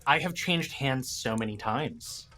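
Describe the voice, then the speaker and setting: Animated man thinking out loud. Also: mid-range voice, a little slow, slight background noise. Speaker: podcast guest. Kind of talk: casual improvised talk about tabletop game monsters.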